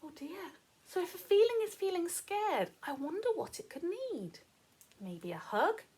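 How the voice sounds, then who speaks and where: A woman's voice: very high-pitched, sing-song, measured pace, darkish tone. A woman reading a story aloud to young children, playful and encouraging, often putting questions to the listener. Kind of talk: animated read-aloud with commentary for young children.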